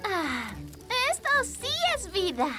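Really high bouncy cartoon girl, upbeat n reassuring, loud music behind. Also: sing-song, slow, full character voice. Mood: cheerful. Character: upbeat, reassuring, sensible young girl camper. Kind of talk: animated children's cartoon dub.

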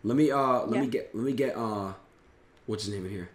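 A young man hosting a casual livestream, loud, joking and swearing a lot. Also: medium pitch, brisk. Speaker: streamer host. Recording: echoey room with crowd noise.

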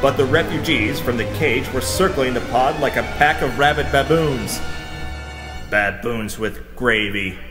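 A man's voice: medium pitch, a little slow, lightly acted. An actor playing a pompous, violent macho adventurer, narrating his exploits melodramatically in a pulp radio drama. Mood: smug, grave. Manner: bombastic, melodramatic. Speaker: narrating hero. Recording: loud music and crowd noise behind. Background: loud music and crowd.

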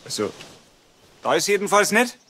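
Defensive villager, husky, animated intonation, indignant, audio drama. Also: male voice, sits high, normal speed, full character voice.

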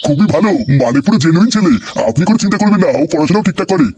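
Man playing a fast-talking, smarmy cartoon school principal. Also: sits high, expressive, a crowd in the background. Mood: flustered, flattering. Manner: glib, wheedling, profane. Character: lecherous, two-faced school principal in a comedy cartoon. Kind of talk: crude comedy cartoon voice acting.

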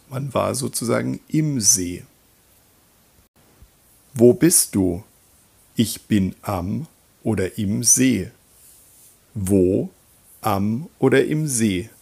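A man teaching German grammar in a slow scripted voice-over, reading example sentences and repeating them. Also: on the low side, creaky, darkish tone, breathy edge.

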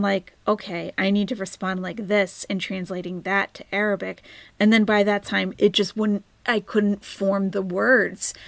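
A woman talks casually on a live stream, answering viewer comments and rambling from one thought to the next. Her voice has a gravelly edge and sits at an ordinary middle pitch, and she often slips into near-whispered asides. The sound is close and dry, with no room around her. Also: no room sound.

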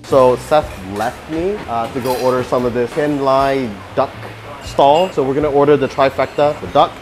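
A man's voice: medium pitch, moderate pace. Laid-back canadian guy co-hosting a food vlog, lapel mic, music behind. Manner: relaxed, easygoing, joking. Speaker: guest co-host. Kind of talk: casual chat. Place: outdoor food centre. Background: background music.

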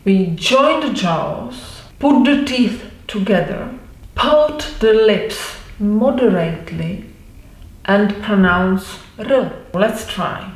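A woman whose first language is Czech speaks very slowly and deliberately, repeating sounds again and again as she teaches pronunciation. She sits indoors at mid distance from a fixed camera, and the room adds a noticeable echo. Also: mid-range voice, animated. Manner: slow, deliberate, reassuring, repeats sounds to demonstrate. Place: indoor room with wooden door and bookshelf. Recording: roomy echo.

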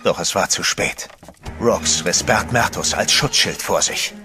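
A man's voice: deep voice, a little slow. A man narrating a horror radio play in the first person, tense and dramatic. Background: music.

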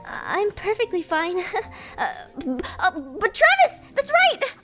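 Animated series: excitable, awkward teen girl, very high and lively, stammers and giggles. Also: slow, faint music behind, full character voice. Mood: flustered. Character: shy, excitable freshman high school girl, gamer and anime fan. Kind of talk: animated roleplay voice acting.

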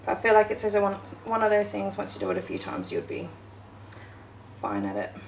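Home-cooking vlogger, female, chatty and self-correcting, a touch of vocal fry, roomy kitchen sound. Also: mid-range voice, sing-song, brisk. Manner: chatty, self-correcting, talks while working with hands. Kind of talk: casual baking walkthrough. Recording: echoey kitchen with faint noise.

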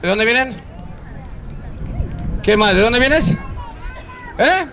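A man's voice: very high voice, lively intonation, slow pace, pushed and bright. A man with a Mexican accent working a crowd as a street comedian, bantering in a teasing, cheeky way. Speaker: street clown comedian hosting a live show. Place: outdoor public park in a city. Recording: outdoor urban noise, crowd around.

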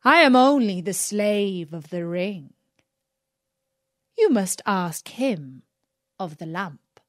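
A woman voicing a bound male genie in a storybook, formal and measured. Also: mid-range voice, lively intonation, dry recording, clean studio sound. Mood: solemn, refusing. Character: powerful but bound male genie slave.

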